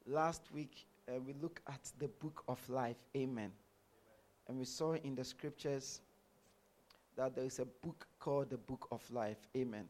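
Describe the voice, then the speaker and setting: A man's voice: medium pitch, unhurried, a little mellow. A man from Ghana preaching a sermon as pastor, saying amen after nearly every sentence.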